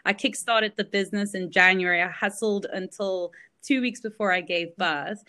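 Belgian-born woman, 30s, chatting candidly about her life, lots of ums and little laughs, mid-pitched voice. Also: moderate pace, pushed and bright. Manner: candid storytelling with frequent fillers and light laughs. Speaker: guest, business founder. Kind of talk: casual interview chat.

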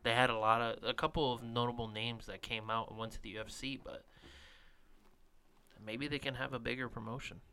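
A man's voice: medium pitch, level tone, a little fast, a little hiss or hum. A man co-hosting a sports podcast, talking casually with lots of fillers and trailing off at the ends of thoughts. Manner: rambling, filler-heavy, trails off quietly. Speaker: podcast co-host.

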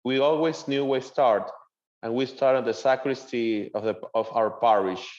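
A young man with a Venezuelan Spanish accent, a native Spanish speaker, answering interview questions as a seminarian in earnest, halting English. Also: mid-range voice, a little mellow, dull audio. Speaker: seminarian guest.

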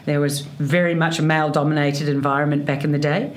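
A woman from New Zealand speaks in a low voice with a broad Kiwi accent. She is in her fifties and answers interview questions about her career, often pausing on "uh". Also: flat delivery. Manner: hesitant, many fillers. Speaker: hotel executive guest.

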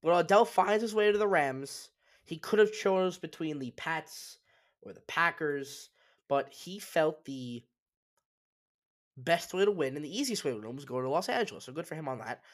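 A man's voice: a little high, animated, moderate pace. Congested man giving sports opinions. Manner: opinionated, emphatic, restarts often. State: congested, sniffling. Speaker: sports podcast host. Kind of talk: solo sports talk commentary.

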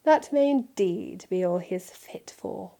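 A woman's voice: mid-range voice, animated, unhurried, vocal fry, mellow. A haughty aristocratic wizard, as a woman reads him, icy and clipped, every word dripping with disdain. He speaks with cold authority and expects to be obeyed.

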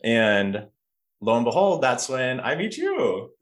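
A man's voice: mid-range voice, big pitch swings, slow pace, fairly bright tone. A man hosting a podcast in a casual, personal chat, rambling and often interrupting himself as he goes. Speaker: podcast host.

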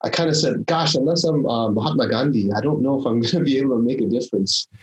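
A man in his fifties from the Philippines talks through his career as an interview guest. He is reflective and thinks aloud as he goes. His voice sits at an ordinary middle pitch for a man. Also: fast, a little mellow, poor audio. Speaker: guest, startup founder. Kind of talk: casual interview storytelling.

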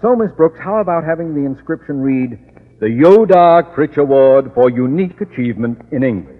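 A man's voice: medium pitch, expressive, normal speed, natural voice. An American man playing a pompous, self-important middle-aged boss and father in old radio comedy. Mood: pompous, pleased. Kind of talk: 1940s-50s radio comedy acting. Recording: noisy, muffled old radio recording with audience.

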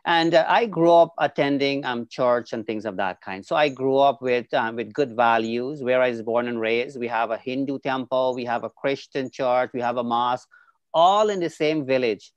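A man's voice: mid-range voice, moderate pace, no room sound. A middle-aged man from Guyana, a social worker explaining his work as an interview guest in a friendly way with many fillers. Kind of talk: video call interview. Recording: dull lo-fi sound.

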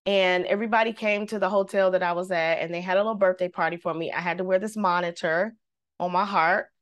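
Animated woman telling a personal story. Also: lowish voice, fast talker. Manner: animated storyteller, acts out quotes, devout. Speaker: guest; singer. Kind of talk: personal story in casual conversation.

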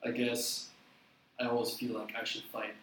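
A man's voice: mid-range voice, completely flat delivery, moderate pace, fairly bright tone. A young man from New York, easygoing and hedging with "I guess," speaking as an interview guest. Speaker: musician guest. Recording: echoey room, distant mic, light noise.

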